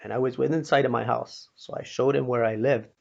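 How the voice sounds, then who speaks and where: An older man with a North American accent and a gravelly voice, telling a personal story in an unhurried, plain-spoken, folksy way, as if passing on a lesson. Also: medium pitch, fairly even intonation. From Pennsylvania, United States. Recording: dull, muffled lo-fi recording.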